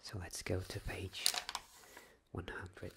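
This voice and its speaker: Slow, creaky-voiced man reading ASMR bedtime stories. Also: low voice, completely flat delivery, heavy background noise. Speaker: host reading a bedtime story. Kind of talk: soft-spoken ASMR storybook reading.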